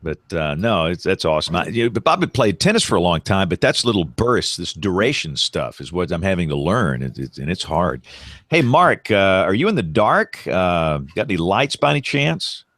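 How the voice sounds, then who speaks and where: A man's voice: deep voice, big pitch swings, moderate pace, a bit bright, no echo, clean studio sound. A man in his sixties hosting a casual panel chat, joking and teasing, often repeating his words. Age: 60s. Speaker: show host.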